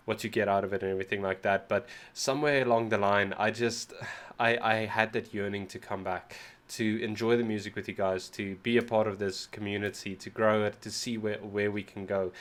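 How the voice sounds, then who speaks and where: List: South African man, Afrikaans first language, lowish voice, a bit sick, rambling casual host talk, self-deprecating, restarts his words. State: slightly sick. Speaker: music reaction channel host. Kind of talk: casual reaction video chat.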